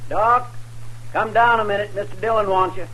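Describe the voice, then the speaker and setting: Folksy, deferential western deputy. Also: male voice, high-pitched, fairly even intonation, moderate pace, pushed and bright. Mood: calling out. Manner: folksy, eager to report. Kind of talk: 1950s radio drama acting. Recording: noisy old radio broadcast recording.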